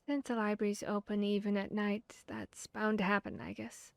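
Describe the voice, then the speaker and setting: A woman's voice: mid-range voice, little pitch movement, moderate pace, gravelly, dry recording, close to an everyday voice. Woman acting as earnest, bookish vampire hunter, dry close sound. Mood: flustered. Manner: hesitant, thinking aloud, conversational. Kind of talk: ASMR audio roleplay acting.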